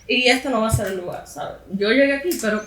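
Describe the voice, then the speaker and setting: A Cuban woman with a high voice tells her own story frankly. She sounds a little away from the mic, in a roomy space. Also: fast, a bit bright, recorded from a distance, slight background noise. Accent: Cuban Spanish. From Cuba. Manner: candid, personal storytelling. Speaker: guest, emigrant mother. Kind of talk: casual conversation.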